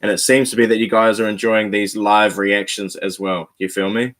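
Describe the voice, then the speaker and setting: A man's voice: lowish voice, level tone, normal speed, a bit bright. A man hosting a reaction video, talking straight to his viewers in a laid-back, slangy way. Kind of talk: casual reaction commentary.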